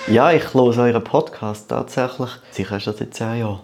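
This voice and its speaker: A man's voice: medium pitch, moderate pace, vocal fry, darkish tone. A man in his thirties with a Swiss German accent, chatting casually as an interview guest and often repeating words as he goes. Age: 30s. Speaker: podcast guest, start-up entrepreneur.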